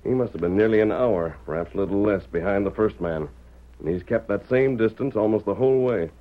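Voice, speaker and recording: An actor playing an earnest, commanding masked cowboy hero in an old-time radio western, reasoning aloud in crisp, formal sentences. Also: male voice, fairly low pitch, fairly quick, lightly acted. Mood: earnest, pondering. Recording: tinny, narrow-band vintage radio recording.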